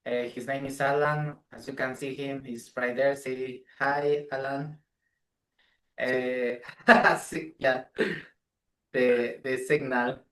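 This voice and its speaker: Young man, halting interviewer. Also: medium pitch, flat delivery, fairly bright tone, low-quality recording. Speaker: interviewer, co-host. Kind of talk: casual interview chat.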